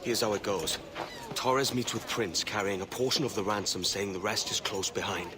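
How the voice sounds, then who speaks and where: A man playing a bold young pirate captain who talks in a casual, blunt way, in a game cutscene with loud music. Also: on the low side, normal speed, background noise, lightly acted. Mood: scheming.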